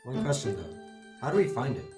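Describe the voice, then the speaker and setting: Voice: medium pitch, very animated, brisk, roomy sound, music in the background, natural voice. A performer playing a plucky, loyal young adventurer in an audio drama. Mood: puzzled.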